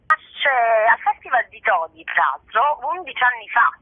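A middle-aged woman talks in a high-pitched voice over a band-limited phone line. She is an actress being interviewed, speaking spontaneously and effusively, often circling back to repeat a phrase. The sound is dry. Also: sing-song, moderate pace, slightly rough, dry recording. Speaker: actress interviewed about a show. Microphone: telephone.

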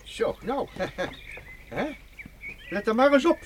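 A man playing an excitable, absent-minded elderly professor in a children's audio drama, fussy and stammering, repeating his words. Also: high voice, slow, a little hiss or hum, full character voice. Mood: cheerful, fussy.

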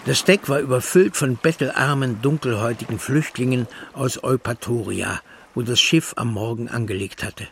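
A man's voice: medium pitch, normal speed, creaky, fairly bright tone, slight background noise. A man reading literary narration as the narrator of a drama.

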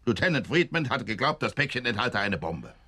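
An actor playing a stern, sardonic senior police inspector in a radio drama, curt, biting and sceptical. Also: male voice, medium pitch, very animated, fairly quick, vocal fry, pushed and bright, husky, full character voice. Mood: sarcastic.

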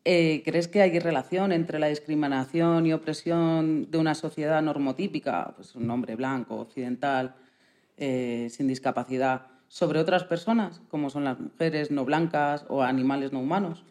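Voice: mid-range voice, normal speed, a little mellow. An interviewer, talking conversationally and earnestly while asking questions and reflecting on answers. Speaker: radio show interviewer.

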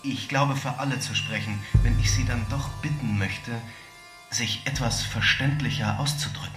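A man's voice: medium pitch, moderate pace, fairly bright tone, lightly acted. A pompous local politician acted by a man addresses a meeting in formal, condescending phrases. His middling voice rings in a roomy hall, with prominent music behind it. It is radio drama oratory.

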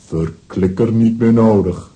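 A man's voice: lowish voice, measured pace, mellow, natural voice. A man playing a big, simple strongman in a children's audio drama, speaking in terse, clipped telegram phrases. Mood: matter-of-fact. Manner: terse, drops articles and pronouns.

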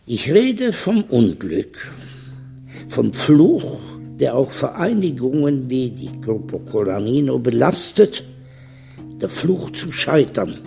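A man playing a smooth, calmly menacing corporate lawyer in a radio crime drama, speaking formally and with measured eloquence. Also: mid-range voice, full character voice. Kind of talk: German radio play dialogue.

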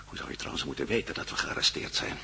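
A man's voice: fast, sharp tone. A man playing a wry, brooding adventurer held in prison, in a conversational radio drama style. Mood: worried. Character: jailed American adventurer, wry and worried, defiant. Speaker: actor in a radio play. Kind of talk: Dutch radio drama acting. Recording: radio play with faint hum.

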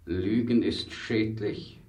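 An actor playing a menacing, mocking inquisitor monk in a radio play, with slow, sneering threats. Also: male voice, on the low side, steady tone, a little mellow, far from the mic. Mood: mocking menace. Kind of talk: German radio play acting. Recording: echoey room, off the mic.

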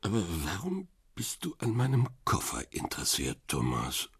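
An actor playing a beaten, drunk photographer in a radio drama, husky and erratic, lurching from groggy to shouting. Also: male voice, on the low side, wildly swooping pitch, moderate pace, no room sound, studio quality, exaggerated voice. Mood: groggy, suspicious. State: drunk and battered. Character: beaten, drunk male photographer, distrustful and volatile.

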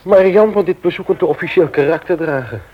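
A man's voice: a little high, quick pace, natural voice. A man playing a genial, teasing husband and father in a radio play. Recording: dull old radio recording.

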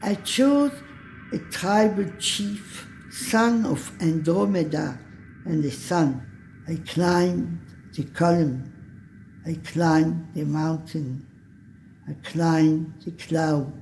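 A woman performing a solemn, dreamlike poet-visionary in a radio piece, reciting very deliberately in a dark, creaky voice with pauses between lines. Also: deep voice, a little hiss or hum, exaggerated voice. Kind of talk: poetry recitation.

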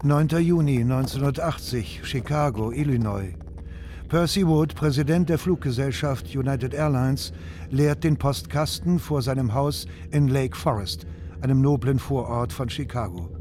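A man's voice: medium pitch, normal speed, warm tone, a little hiss or hum. A man narrating a scripted documentary radio drama as a narrator.

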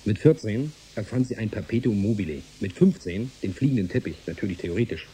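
A man's voice: fairly low pitch, lively intonation, brisk, mellow, slight background noise, lightly acted. A man playing an offhand, middle-aged engineer and family man in a German science fiction radio drama, talking in a colloquial, flippant way. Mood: flippant, exasperated.

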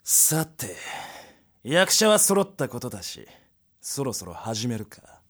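An actor playing a cruel, overbearing man in authority in an audio drama, sneering and rough-spoken. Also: medium pitch, very animated, slow, a bit bright, full character voice. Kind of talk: Japanese audio drama acting.

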